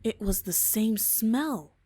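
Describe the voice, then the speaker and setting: A woman's voice: on the high side, animated, slow, dark tone. A woman voicing a dry, level-headed female doctor in a fan dub of a game. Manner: dry and exasperated. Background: faint music.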